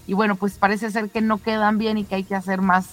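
A woman presenting the news in an informal, conversational way, somewhat halting and given to rhetorical questions. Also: mid-range voice, little pitch movement, faint music behind.

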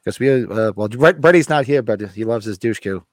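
A man's voice: medium pitch, normal speed, no room sound, studio quality. A man co-hosting a casual horror podcast, joking and reading chat comments aloud, his voice strained and half gone. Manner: lively, joking, reads viewer comments aloud. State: losing his voice. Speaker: podcast co-host.

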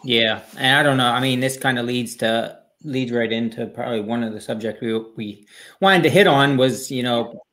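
A man's voice: mid-range voice, normal speed, fairly bright tone. Podcast co-host, man with an average voice, loose and self-mocking, chatty. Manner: easygoing, rambling, self-deprecating. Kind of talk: casual chat.

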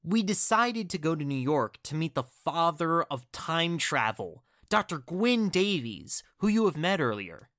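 An awkward, apologetic man, voiced by an actor in an audio drama. His delivery is very animated and hesitant, as if owning up, and the recording is dry. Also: on the high side, unhurried, hardly any pauses, dry recording. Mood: sheepish. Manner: sheepish, hesitant explaining. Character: awkward, flustered, apologetic man reporting mishaps.

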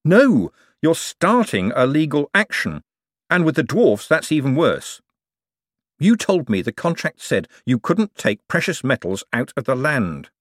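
A man voicing a wry, exasperated, fast-thinking man who argues sardonically in an audiobook story. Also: a little high, sing-song, a bit bright, no room sound, clean studio sound, pitched above the performer's own voice, full character voice. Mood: alarmed, scolding. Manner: sardonic, argumentative.

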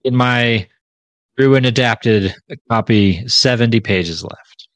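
A man's voice: mid-range voice, slow pace, a bit bright, no echo. A man co-hosting a casual podcast, summarizing chapters in a loose, informal way with frequent restarts. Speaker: podcast co-host.

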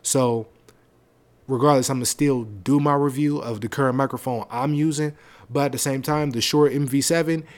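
Male podcast host, deep projected voice, casual slangy rambling delivery, close mic. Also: fairly even intonation, normal speed. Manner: loose, rambling, conversational with slang. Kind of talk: casual solo podcast talk. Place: home setup, seated on a leather seat. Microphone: close podcast microphone.

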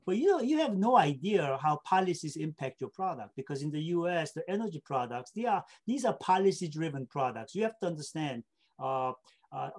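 A man's voice: a little high, darkish tone. A middle-aged man from South Korea, answering interview questions with anecdotes and explaining step by step, often checking in with short tag questions. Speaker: interview guest, state government official.

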